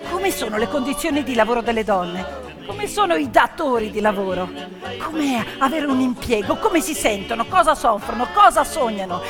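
A woman performing emphatic theatrical storytelling, with rhetorical questions and dramatic pauses. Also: high-pitched. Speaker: stage storyteller, actress. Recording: long hall reverb, music behind. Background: piano music.

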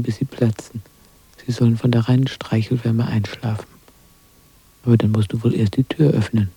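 Dark, husky brooding witch's inner voice. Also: mid-range voice, no room sound, poor audio. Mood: coaxing. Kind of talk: intimate German radio play monologue.

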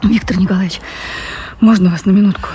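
An actress playing an anxious, devoted gentlewoman in love, speaking earnestly in refined, wistful radio drama style. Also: mid-range voice, fairly even intonation, brisk, slight background noise, lightly acted. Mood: worried. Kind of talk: Russian radio drama acting.

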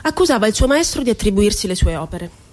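A woman's voice: mid-range voice, very animated, darkish tone. Wounded, ironic woman poet. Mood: bitter irony. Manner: deliberate, poetic delivery with drawn-out words and rhetorical questions. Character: woman poet recalling her years in an asylum, wounded and ironic. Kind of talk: theatrical radio monologue.

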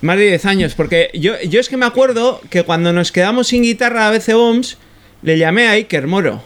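Musician from Bilbao, high, smooth voice, relaxed, hedging chat. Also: male voice, a little fast. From Bilbao, Spain. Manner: relaxed storytelling, hedges with "creo". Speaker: guitarist and singer guest. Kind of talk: casual radio chat.

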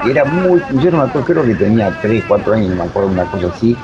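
A man's voice: very fast, crowd noise. A man in his seventies from Uruguay with a Rioplatense accent, reminiscing in a rambling, digressive interview style. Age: 70s. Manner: rambling, digressive, many filler phrases. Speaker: interview guest, voice technique teacher. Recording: dull, rumbly, faintly noisy recording.

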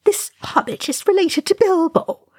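A woman's voice: fairly low pitch, wildly swooping pitch, a little fast, slightly rough, no echo, clean studio sound, full character voice. A woman voicing an anguished, hot-tempered old male dwarf ghost, emphatic and fraught, in a storybook reading. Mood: snappish. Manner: pleading, snappish, emphatic.

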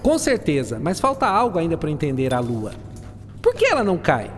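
A haughty, brilliant scientist acted by a woman, curt and formal, with an animated, wide-moving pitch. The radio-drama voice rings in long reverb over music. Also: a little fast. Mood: pondering. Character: Isaac Newton, grumpy, aloof, brilliant male scientist.

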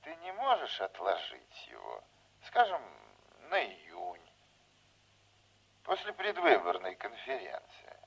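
An older man acting in a radio play as a folksy, scheming veteran politician, unhurried, fatherly and insinuating. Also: on the low side, wildly swooping pitch, vocal fry, fairly bright tone, husky, exaggerated voice, rough recording. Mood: insistent, scheming.

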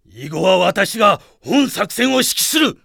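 Gravelly, irate army commander. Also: male voice, sits high, big pitch swings, a little slow, bright, forceful tone, no echo, clean studio sound, heavily acted. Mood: indignant. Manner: imperious, indignant. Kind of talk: Japanese radio drama acting.

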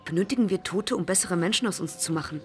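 A woman's voice: lowish voice, fast talker, a little mellow, soft background music, close to an everyday voice. An actress in a radio play playing a probing, insistent woman who presses moral questions like a reporter. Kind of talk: German radio play acting.

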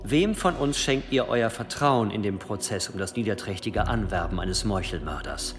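Cunning church inquisitor played by a man, formal and insistent, very animated delivery, echoing hall with music underneath. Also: fairly low pitch, normal speed, close to an everyday voice. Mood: solemn, persuasive. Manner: formal, insistent, rhetorical courtly address. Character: cunning, relentless cathedral provost conducting an interrogation. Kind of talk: period radio drama acting. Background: dramatic music.

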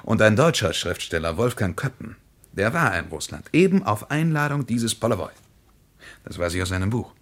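An actor playing an eager, insistent German traveller in a radio play, speaking with impassioned emphasis. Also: male voice, on the low side, very animated, normal speed, fairly bright tone.

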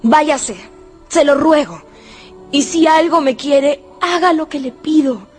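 A woman's voice: sits high, takes it slowly, a little mellow, soft background music. An actress playing a proud young aristocratic lady in a radio drama, formal and imploring. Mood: urgent, torn. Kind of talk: Spanish-language radio drama acting.

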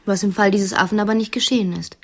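An actress playing a strictly raised, quietly cunning wife who feigns naivety in a radio play, speaking hesitantly with pointed innocence. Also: female voice, medium pitch, steady tone, fast talker, natural voice. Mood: quietly provoking. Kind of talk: radio play acting in dialogue.

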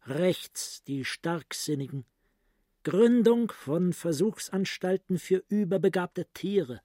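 An actor playing a brooding, grandiose psychiatrist, speaking his inner thoughts softly and deliberately in a literary radio play. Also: high-pitched, no room sound, studio quality.

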